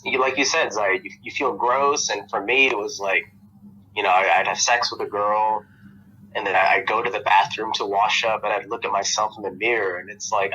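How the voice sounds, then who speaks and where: A man's voice: lowish voice, pushed and bright, lo-fi sound, a little hiss or hum. A young American man, a podcast guest, talking casually in a rambling way with lots of fillers and restarts. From United States. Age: young adult.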